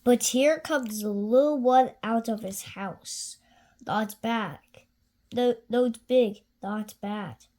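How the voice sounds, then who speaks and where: A woman reading a children's picture book aloud expressively, with deliberate, rhythmic phrasing. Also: a little high, darkish tone. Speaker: children's story reader.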